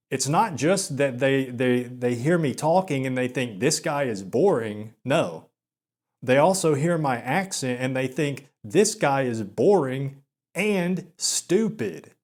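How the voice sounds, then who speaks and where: A young man with a Southern US accent in a gloomy, self-deprecating monologue, talking slowly and flatly with filler words and stammers. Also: mid-range voice. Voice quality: slow, monotone delivery with filler words and stammers. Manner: gloomy, repetitive, resigned. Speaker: video essayist.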